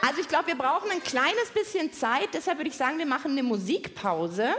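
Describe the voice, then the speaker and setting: A high-voiced woman hosts a live science show for children, over clear background noise. She speaks directly to the audience and guides them in simple, encouraging words. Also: expressive, a little fast, crowd noise. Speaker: co-host of a children's science podcast. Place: live stage at a podcast festival.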